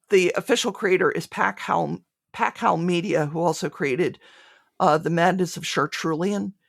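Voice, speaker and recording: Creaky-voiced woman chatting as podcast guest. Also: fairly low pitch, no echo, studio quality. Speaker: podcast guest, audio drama creator. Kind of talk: conversational interview answers.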